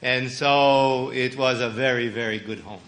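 A man's voice: medium pitch, steady tone, a bit bright. A man in his sixties from Canada, telling his life testimony in short phrases so an interpreter can follow. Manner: plain, unhurried storytelling in short phrases. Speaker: guest speaker giving testimony. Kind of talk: testimony sermon with line-by-line interpreting.

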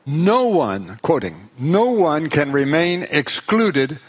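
Male preacher, higher-pitched voice, slow deliberate delivery, rhetorical phrasing in short segments. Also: sing-song, husky. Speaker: preacher giving a lecture.

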